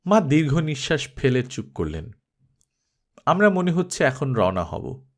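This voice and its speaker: Bengali male storyteller with lively intonation. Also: mid-range voice, measured pace. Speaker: audiobook narrator. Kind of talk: scripted story narration.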